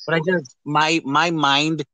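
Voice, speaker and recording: Bright-voiced man chatting casually about movies. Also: a little high, measured pace, no echo. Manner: animated, conversational, acts out imagined quotes. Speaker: podcast host.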